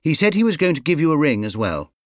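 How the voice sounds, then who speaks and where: An earnest, polite little storybook bear speaks through a male narrator with a British accent. The voice is lifted in pitch and animated, full of self-importance, and recorded dry. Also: fast, dry recording. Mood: eager.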